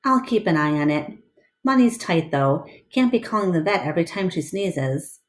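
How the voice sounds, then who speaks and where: A woman voicing a gruff, penny-pinching man in a storybook, curt and dismissive. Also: lowish voice, expressive, normal speed, close to an everyday voice. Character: gruff, penny-pinching man running a roadside animal attraction.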